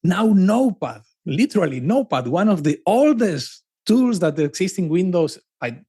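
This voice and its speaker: A man with an Argentine Spanish accent talks as a podcast guest. His voice sits a little high and swings widely in pitch. He restarts phrases and repeats words for emphasis. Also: normal speed, slightly rough. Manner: animated, with frequent restarts and emphatic repetition. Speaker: podcast guest, cloud advocate. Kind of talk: casual conversational interview.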